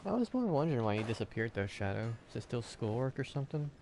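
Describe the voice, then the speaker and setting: A man talking casually and offhandedly while playing a video game, rambling with hesitant pauses. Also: mid-range voice, sing-song, dark tone, slight background noise. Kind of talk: casual gameplay chat.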